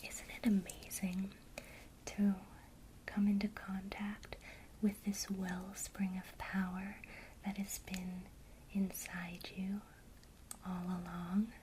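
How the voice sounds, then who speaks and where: A woman teaching a witchcraft lesson in a soft, unvoiced ASMR whisper, guiding the listener gently and speaking straight to them. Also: mid-range voice, flat delivery, slight background noise, low-quality recording. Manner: slow, soothing, intimate, addresses the listener directly. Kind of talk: whispered ASMR guided visualization.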